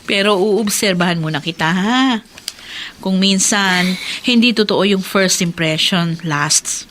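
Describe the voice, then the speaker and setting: An actress with a Filipino accent playing a tired but kindly, frank housewife in a radio drama. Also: female voice, mid-range voice, takes it slowly, fairly bright tone, slight background noise. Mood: curious, kindly.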